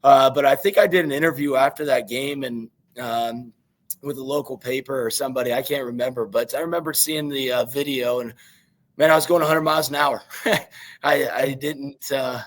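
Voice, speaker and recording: A man with a naturally raspy voice and a Midwestern American accent, a young high school football coach giving rambling interview answers full of fillers. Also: steady tone, normal speed, low-quality recording. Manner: rambling, many fillers and restarts.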